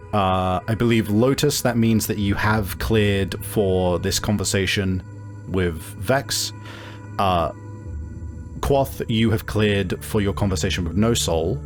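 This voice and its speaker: Low-voiced man running a tabletop game. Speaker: game master.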